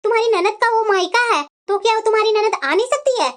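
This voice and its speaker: महिला की आवाज़ में समझदार माँ, ऊँचा पर नरम सुर. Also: female voice, extremely high pitch, fast talker. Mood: gently reasoning. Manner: soothing, gently reasoning. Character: older sensible, soothing, indulgent mother. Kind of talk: scripted family story dialogue.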